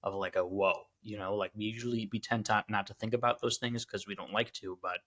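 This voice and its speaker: A man's voice: on the low side, steady tone, brisk, a bit bright. A young man co-hosting a podcast, speaking reflectively and hesitantly in casual chat. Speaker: podcast co-host.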